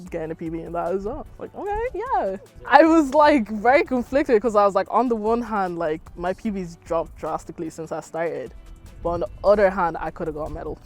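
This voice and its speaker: Chatty young Irish woman, faint music. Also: lowish voice. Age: young adult. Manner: chatty, lots of "like", lively retelling. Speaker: athlete interviewee. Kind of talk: casual interview answers.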